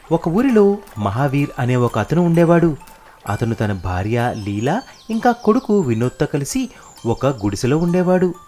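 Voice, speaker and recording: An animated storyteller narrates moral tales for children in a scripted storybook style. The voice moves up and down in pitch, with faint music underneath.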